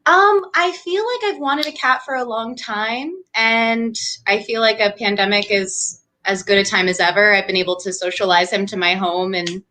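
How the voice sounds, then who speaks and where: A woman's voice: medium pitch, normal speed, few pauses, sharp tone. A young woman from New Hampshire, a doctoral student talking casually as an interview guest, chatty with frequent fillers and laughs. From New Hampshire, USA. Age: young adult.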